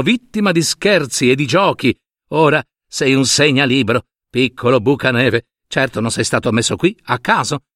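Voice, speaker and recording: A man's voice: on the high side, a bit bright, dry recording, studio quality. A man narrating a fairy tale expressively, theatrical and with conversational asides. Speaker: storyteller.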